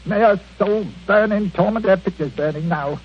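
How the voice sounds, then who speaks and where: An actor playing a bitter, miserly husband, fretful and melodramatic, in an old-time radio mystery. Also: male voice, high voice, normal speed, slightly rough. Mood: bitter, vengeful. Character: bitter miserly old husband, retired English tradesman, wronged and furious. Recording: muffled, lightly noisy vintage radio recording.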